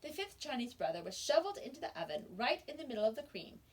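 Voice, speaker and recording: A woman teacher reading a storybook aloud to young children, expressive and pausing to explain words. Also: on the high side, slightly rough.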